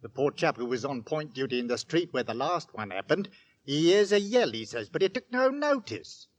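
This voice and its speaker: An actor playing an opinionated, morbidly curious Victorian husband with a working-class London accent, in 1940s radio style. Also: male voice, a little high, very animated, normal speed. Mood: scoffing. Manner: colloquial, scoffing, knowing.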